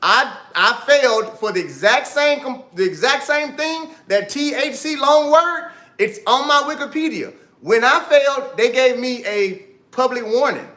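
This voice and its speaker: A man's voice: very high voice, lively intonation, measured pace, bright, forceful tone, roomy sound. A man co-hosting a live talk show, blunt and insistent, repeating his point. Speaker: athlete co-host.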